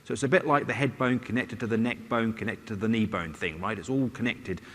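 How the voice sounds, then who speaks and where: A man's voice: on the low side, fairly quick, fairly bright tone. A middle-aged man giving a conference presentation on stage with a headset mic, talking conversationally with wry asides and rhetorical questions. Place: large conference stage, indoors. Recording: long hall reverb.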